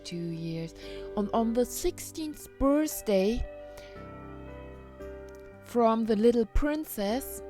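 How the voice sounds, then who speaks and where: A woman telling a fairy tale dramatically, in simple, halting phrases with pauses for effect. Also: on the high side, lively intonation, warm tone, soft background music. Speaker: storyteller.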